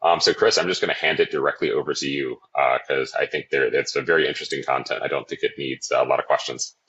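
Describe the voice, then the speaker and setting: A man moderating a panel who moves things along with brisk, friendly handovers, heard on dull, lo-fi audio. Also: rumbling low, steady tone, sharp tone, husky.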